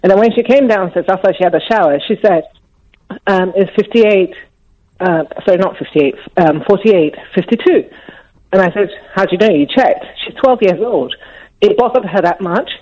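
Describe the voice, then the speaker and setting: A woman's voice: medium pitch, normal speed, a little mellow. A middle-aged woman with a Nigerian accent calling a radio phone-in, emotional and upset, with many restarts and trailing sentences. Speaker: caller. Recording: muffled phone line.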